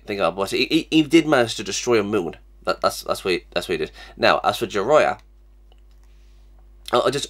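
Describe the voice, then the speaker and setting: Young male host with a cold, fairly low voice, halting and repetitive delivery, recorded at a desk webcam. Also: fairly bright tone. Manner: stammering, many restarts and fillers. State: has a cold, sniffly. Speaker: reaction channel host. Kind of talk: casual reaction vlog. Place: small cluttered room indoors.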